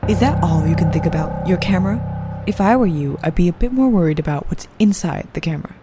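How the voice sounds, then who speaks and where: Woman as an animated, exasperated campaign spokeswoman. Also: lowish voice, brisk, over music, natural voice. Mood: cool. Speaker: voice actor. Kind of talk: comic book dub.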